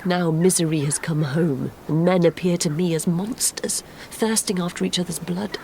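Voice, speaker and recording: A young gentlewoman in a gothic audio drama, steadfast in grief. The voice is fairly low, earnest and formal in its literary phrasing, with faint noise and ambience around it. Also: fairly even intonation, normal speed, vocal fry, low-quality recording. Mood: anguished, bitter.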